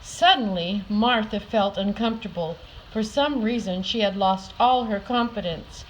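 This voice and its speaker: An older woman reads a children's story aloud. She uses deliberate, dramatic emphasis suited to young listeners, at a middling pitch. There is faint noise behind her voice. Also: low-quality recording. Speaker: storyteller reading a picture book aloud.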